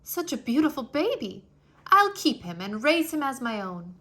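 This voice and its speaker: Lady doing a bossy but tender storybook princess, high bright voice, really emphatic. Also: sing-song, normal speed, heavily acted. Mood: delighted. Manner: demanding, emphatic. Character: Egyptian princess, imperious yet tender young woman.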